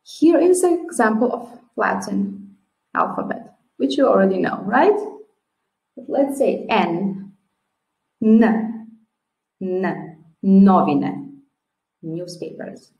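Slow, careful Serbian-accented woman teacher. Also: mid-range voice, expressive, a little mellow. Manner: slow and clear, with careful word-by-word modelling. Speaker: language teacher. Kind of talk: teacher's introduction video. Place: small room at home, classroom posters behind. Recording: echoey room.

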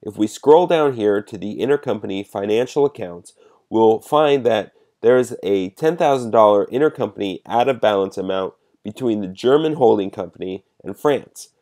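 A man with a fairly low voice narrates a software demonstration. He explains each step in a measured, instructional way, like a presenter guiding users through a program. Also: lively intonation.

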